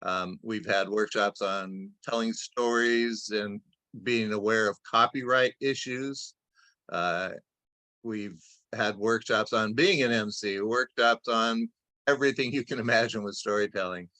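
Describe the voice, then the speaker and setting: A man speaks as an event host in a bright voice with very little room sound. His delivery is informal and rambling, with halting phrases, as he makes introductions over a video call. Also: on the low side, no room sound. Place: indoors on a Zoom video call. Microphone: video call microphone.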